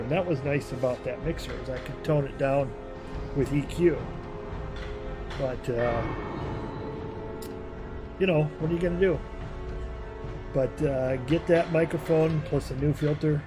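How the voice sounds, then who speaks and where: Male game streamer, rambling casual chatter, harsh voice, faint music underneath. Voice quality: higher, harsher voice. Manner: rambling, many fillers and restarts.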